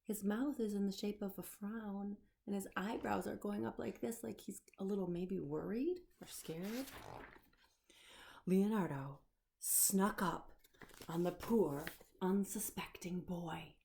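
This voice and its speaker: A woman's voice: mid-range voice, takes it slowly, gravelly, dark tone, slight background noise. A woman children's storyteller reading a picture book aloud, expressively, explaining and putting questions to the listener.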